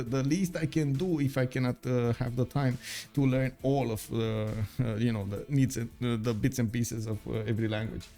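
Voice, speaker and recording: A man's voice: medium pitch, darkish tone. A young man whose first language is Romanian, commentating a strategy game live, with lots of fillers and upbeat chat with viewers. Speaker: game caster.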